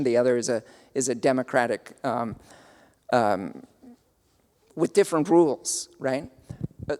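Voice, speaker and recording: A man's voice: fairly high pitch, smooth, in a large hall. A man with a South African accent, giving a lecture and answering audience questions, speaking thoughtfully with many fillers and restarts.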